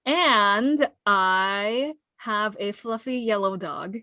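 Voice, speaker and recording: A woman's voice: mid-range voice, extremely slow, bright, forceful tone, no room sound, clean studio sound. A woman in her twenties with an American accent, co-hosting a podcast in an informal, upbeat, chatty way. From United States. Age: 20s. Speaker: podcast co-host. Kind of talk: casual chat.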